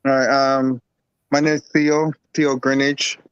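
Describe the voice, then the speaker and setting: A man from Barbados, a guest herbalist and boxer, talking in a relaxed, rambling way with lots of repeats. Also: mid-range voice, unhurried, smooth, dry recording, lo-fi sound. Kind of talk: casual interview talk.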